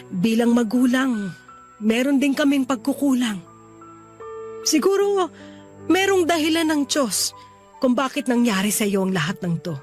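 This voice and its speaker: A woman's voice: on the high side, a little mellow, lightly acted. A woman playing a loving, protective middle-aged mother in a sentimental audio drama, earnest and consoling. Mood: regretful, tender. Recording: music behind the voice.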